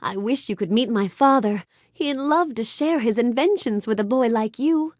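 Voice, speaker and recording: A woman playing a sweet, curious, bookish young fairy-tale heroine in a video game, speaking with gentle, courteous wonder. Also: on the high side, normal speed, no echo, clean studio sound, close to an everyday voice. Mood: warm, encouraging. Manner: gentle, wonder-filled, courteous, expressive delivery. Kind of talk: animated game character dialogue.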